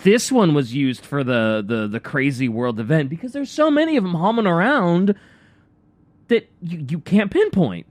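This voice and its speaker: A man co-hosting a radio show with casual, reactive banter, rambling and haltingly repeating his phrases. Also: on the high side, sing-song, dry recording.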